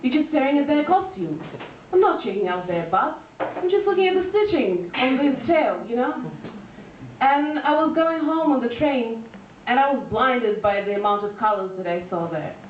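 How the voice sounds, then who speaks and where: A woman's voice: high voice, moderate pace, slight background noise. Woman doing stand-up, echoey and distant. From Russia. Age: 20s. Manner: deadpan with frequent fillers and restarts. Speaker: stand-up comedian. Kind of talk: stand-up comedy set. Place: live venue with audience. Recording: echoey lo-fi room recording from far off.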